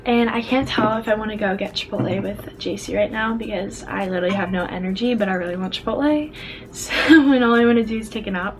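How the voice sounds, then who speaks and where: A woman's voice: medium pitch, fast talker. A young woman in her dorm room, chatting bubbly to a handheld camera at arm's length, full of "like" fillers. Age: young adult. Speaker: vlogger. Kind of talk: casual vlog chat. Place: small college dorm room, indoors.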